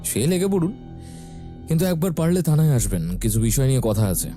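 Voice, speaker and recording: A man playing a formal, matter-of-fact police sub-inspector in an audio story, official and deliberate with slight hesitations. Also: a little high, sing-song, dark tone, faint music behind. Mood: cautious, official. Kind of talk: audio story character acting.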